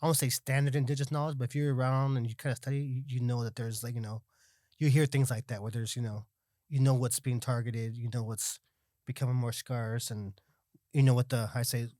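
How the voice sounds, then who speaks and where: A man's voice: mid-range voice, level tone, a little fast, mellow, no room sound, clean studio sound. A man in a casual podcast conversation, talking in a loose, slangy way. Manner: slangy, peppered with dude and bro. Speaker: podcast guest.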